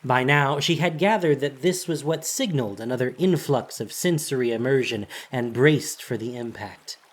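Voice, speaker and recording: A man's voice: medium pitch, moderate pace. A man reading scripted fantasy narration in a dramatic storytelling style, as the narrator of an audio drama.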